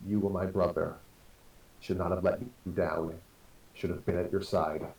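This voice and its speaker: A man's voice: low-pitched, steady tone, normal speed, a little mellow. A man playing an earnest, stubborn warrior brother burdened by guilt in a fan drama, speaking formally and with regret. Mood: guilty, regretful. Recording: echoey room, distant mic.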